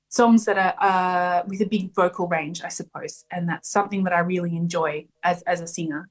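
A woman folk singer answering interview questions thoughtfully, pausing often with fillers. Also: on the low side. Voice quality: strong voice. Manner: thoughtful, hesitant with many ums. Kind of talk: radio interview, casual answers.

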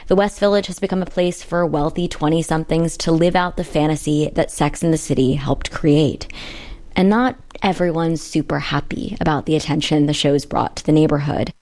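A woman's voice: low-pitched, darkish tone. A woman reporter delivering scripted narration for an audio feature.

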